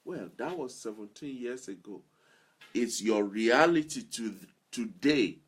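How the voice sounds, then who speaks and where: Slow, husky-voiced male motivational speaker. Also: mid-range voice, animated. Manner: earnest, repeats key phrases for emphasis. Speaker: self-improvement speaker. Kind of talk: motivational talk to listeners.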